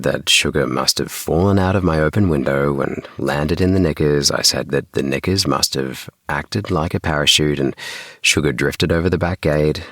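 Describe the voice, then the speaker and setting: A man with a very deep, creaky voice narrating a children's bedtime audiobook in the first person. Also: a bit bright, no echo, studio quality. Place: small home recording booth. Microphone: close mic in small DIY recording booth.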